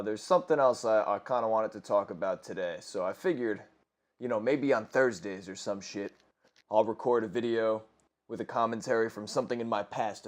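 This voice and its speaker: A man in his twenties telling crude, rambling stories with lots of swearing and self-corrections over gameplay. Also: lowish voice. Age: 20s. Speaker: gaming commentator. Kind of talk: casual storytelling over gameplay.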